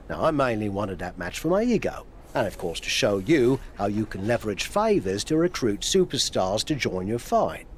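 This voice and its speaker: A man's voice: lowish voice, sing-song, normal speed, slight background noise. A middle-aged man playing a proud, crafty, teasing veteran wrestler who coaches frankly in a video game cutscene. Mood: wry, teasing. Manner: frank, coaxing, wry.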